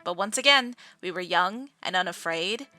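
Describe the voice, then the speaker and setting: Woman narrator for a game story, bright clear-cut voice, soft music behind. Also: fairly low pitch, expressive, moderate pace, no room sound.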